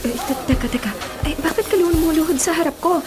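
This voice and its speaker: A woman's voice: extremely high pitch, a little fast. An actress playing an anxious, doubting young girlfriend in a Filipino radio drama. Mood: surprised, hopeful. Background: music.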